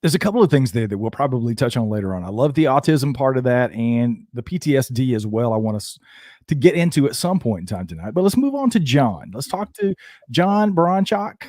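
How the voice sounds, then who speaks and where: A man's voice: medium pitch, very animated, fairly quick, dark tone, no echo, studio quality. A man hosting a live podcast, introducing his guests and inviting them to talk. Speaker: host.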